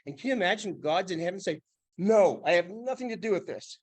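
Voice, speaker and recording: Animated man with a lively, high voice. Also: brisk. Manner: animated, joking storyteller. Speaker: panelist, former preacher. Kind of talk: panel discussion.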